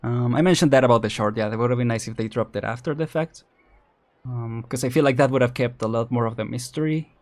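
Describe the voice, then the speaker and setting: A man's voice: mid-range voice, fast. A man chatting casually as a livestream host, rambling and hesitant, often repeating words and restarting as he thinks aloud. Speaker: streamer, vtuber host.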